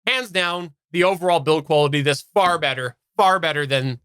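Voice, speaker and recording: A man's voice: a little high, moderate pace, fairly bright tone, clean tone, no echo, clean studio sound. A man from Canada giving a casual, unscripted product review as a podcast host, explaining in detail and stressing key words.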